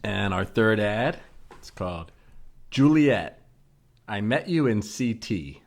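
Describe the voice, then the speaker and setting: A man's voice: lowish voice, animated, slow pace, vocal fry. A man co-hosting a comedy podcast, chatting casually and reading out ads.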